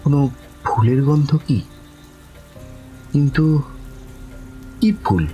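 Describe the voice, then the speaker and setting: A man narrating a horror story in the first person, dramatically and suspensefully, with pauses between short phrases. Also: mid-range voice, expressive, warm tone, faint music behind.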